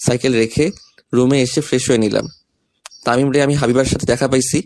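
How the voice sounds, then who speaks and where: Young man narrating, medium-pitched voice. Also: fairly even intonation, brisk, darkish tone. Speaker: story narrator and male lead. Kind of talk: scripted first-person romantic story narration with dialogue.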